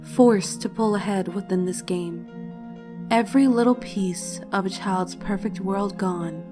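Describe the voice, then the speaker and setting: A woman's voice: medium pitch, measured pace, over music, lightly acted. A woman voicing a frightened, tearful little pony filly, telling her horror story in a solemn, dramatic, emotive way. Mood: mournful. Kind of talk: first-person dramatic fanfiction horror narration. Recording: clear noise and sound effects behind. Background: storm and animal sound effects, noise.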